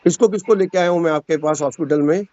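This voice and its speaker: Muffled phone call, insistent higher-pitched man. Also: fast, dark tone. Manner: insistent, presses with repeated questions. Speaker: caller questioning another man about a dispute. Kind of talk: phone conversation, probing questions. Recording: muffled, band-limited phone call recording.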